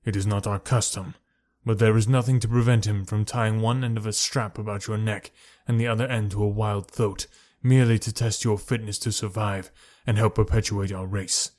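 A man reading the part of a stern, towering male alien warrior chieftain who speaks with cold, measured menace. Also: fairly low pitch, steady tone, darkish tone, lightly acted. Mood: coldly menacing.

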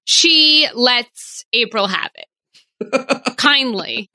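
A woman in her twenties co-hosting a podcast, bubbly and laughing a lot in quick bursts of talk. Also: on the high side, expressive, pushed and bright, no echo. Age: 20s. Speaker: podcast co-host. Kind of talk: casual chat.

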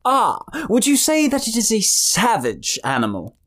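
A woman's voice: a little high, huge pitch swings, a little slow, fairly bright tone, full character voice. A woman playing a proper, prim lady party guest in an audio drama. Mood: curious.

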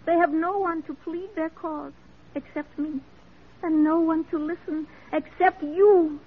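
A woman's voice: very high-pitched, fairly even intonation, unhurried, phone-quality sound. An actress playing an earnest, unyielding woman crusader in an old radio drama, formal, impassioned and blunt. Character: earnest, unyielding female crusader for the mentally ill. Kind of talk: classic American radio drama acting.

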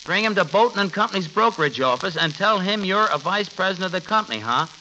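An excitable young soldier bridegroom. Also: male voice, high voice, fast. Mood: impatient. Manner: animated, boyish, grumbling. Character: eager, exasperated young soldier bridegroom on leave. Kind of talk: 1940s radio comedy acting. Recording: muffled, noisy vintage radio recording.

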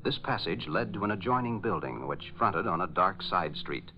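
A man's voice: low voice, expressive, normal speed. A man narrating a radio serial in a dramatic 1940s style. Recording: muffled old radio recording. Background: music.

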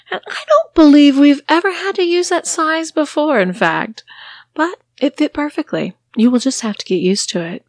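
A woman voicing a wealthy, domineering mistress who talks down in slow, emphatic, mocking phrases. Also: a little high, dry recording, clean studio sound, voice pushed up. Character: commanding condescending wealthy mistress, adult woman.

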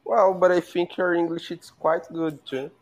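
A man's voice: on the high side, fairly even intonation, moderate pace, poor audio. A young man from Brazil joining a live call as a guest, speaking careful, hesitant second-language English. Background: music playing.

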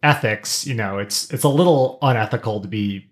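A middle-aged podcast co-host chatting casually, with an informal, halting delivery and slangy asides. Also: medium pitch. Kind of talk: casual chat.

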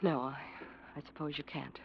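Muffled worried, reproachful radio wife. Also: female voice, low-pitched, fairly even intonation, normal speed, gravelly, breathy edge, dry recording, full character voice. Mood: subdued, reproachful. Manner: subdued, pointed. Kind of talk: 1940s radio drama acting. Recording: muffled vintage radio recording.

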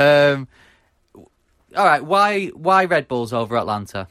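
A man's voice: a little high, big pitch swings, unhurried, a bit bright, smooth, no room sound, studio quality. A young British man co-hosting a casual football podcast, chatty and hesitant, with lots of ums and restarts. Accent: British English. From Britain. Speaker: podcast co-host.

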